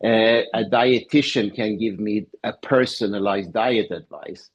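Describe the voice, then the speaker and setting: A man's voice: fairly low pitch, unhurried, lo-fi sound. A man speaking as a guest professor in a conversational interview, explaining his points with personal examples.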